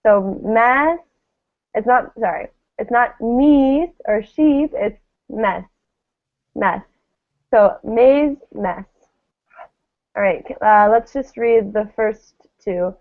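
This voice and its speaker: A woman's voice: on the high side, slow pace, long pauses, narrow, tinny audio. A woman teaching English pronunciation in a live online lesson, encouraging and carefully enunciating model words over thin call audio. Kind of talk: live online lesson, drilling word pairs.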